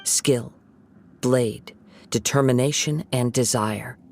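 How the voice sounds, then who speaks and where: A man playing a calm, principled young wandering swordsman in a video game, speaking in a measured, formal, gentle way. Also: medium pitch. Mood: calm, assured. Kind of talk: dramatic video game dialogue and storytelling.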